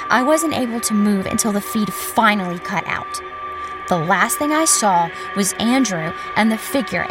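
A woman's voice: mid-range voice, close to an everyday voice. Woman as suspenseful female journalist telling horror. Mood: unsettled, tense. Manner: measured, suspenseful storytelling. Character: haunted female journalist recounting a horror story. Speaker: narrator. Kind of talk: dramatic first-person horror narration. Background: music.

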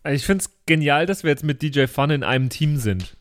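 A man with a fairly high voice speaks as a podcast host. He talks off the cuff in an informal, joking way, as if chatting with listeners and friends. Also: animated, fairly quick. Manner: jokey, informal, breaking into chuckles. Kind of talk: casual off-script chat, listener thanks and shout-outs.

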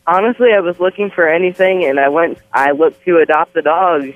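A man's voice: a little high, fairly even intonation, brisk. A young man in his twenties calling into a radio show, talking earnestly and openly. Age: 20s. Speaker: caller. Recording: tinny phone line.

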